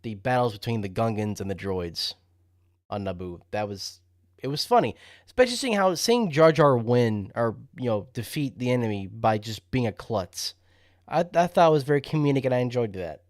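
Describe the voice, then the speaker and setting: A man's voice: mid-range voice, animated, moderate pace, darkish tone, no echo, studio quality. A man co-hosting a podcast, easygoing and laughing, casually making his points. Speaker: podcast co-host. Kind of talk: casual chat.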